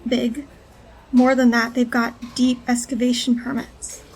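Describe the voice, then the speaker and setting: High-voiced, wary female informant. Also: level tone, measured pace, darkish tone, soft background music, low-quality recording. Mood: wary, matter-of-fact. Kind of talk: audio drama dialogue.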